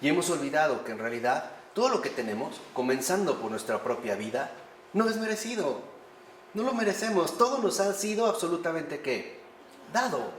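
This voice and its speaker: A man teaching a lively lecture on faith, often posing rhetorical questions to his listeners. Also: fairly high pitch, moderate pace, a little hiss or hum. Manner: animated, rhetorical questions to the audience. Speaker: priest teaching a class. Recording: echoey room with faint ambience.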